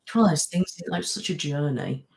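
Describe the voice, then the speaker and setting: A woman's voice: low voice, quick pace, gravelly, a little mellow. A woman hosting a casual live-stream chat, rambling, laughing often and thanking viewers by name. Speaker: ASMR channel host.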